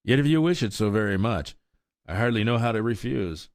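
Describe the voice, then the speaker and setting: A man voicing a sorrowful, resigned old mare in a storybook reading, speaking slowly with sighs. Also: fairly low pitch, expressive, no echo, clean studio sound, pitched above the performer's own voice. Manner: slow, sighing, world-weary.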